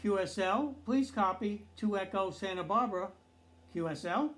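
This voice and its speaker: Older man, high voice, radio exchange. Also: moderate pace, fairly bright tone. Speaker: amateur radio operator. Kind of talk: clipped radio exchange with phonetic spelling.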